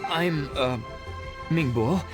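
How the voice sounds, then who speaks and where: A man's voice: a little high, very slow. A man voicing a meek, awkward civil servant in a video game, hesitant and fussily precise. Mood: nervous. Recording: background music under voice.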